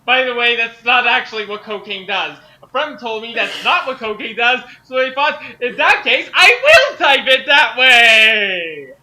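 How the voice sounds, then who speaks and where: A woman giving a halting, overdramatic comic reading of garbled fan fiction as a guest reader. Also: a little high, sing-song, pushed and bright. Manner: overdramatic, stumbling over misspelled words.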